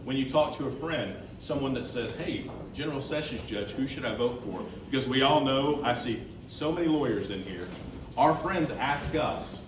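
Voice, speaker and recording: A man's voice: mid-range voice, animated, normal speed, background noise. A man giving an earnest campaign speech to a crowd as the campaign treasurer. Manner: earnest, repeats key words for emphasis. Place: large indoor room with a crowd. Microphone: room microphone heard from a distance. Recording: echoey room recorded from far off.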